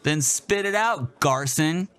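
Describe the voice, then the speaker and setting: A crude, cocky young jock ringleader, as a man puts him on taunts his buddies with brash, profane jabs. The voice sits raised and swings lively while it drawls slowly. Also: fairly high pitch, clear, resonant tone, some chatter behind, voice pushed up. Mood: taunting.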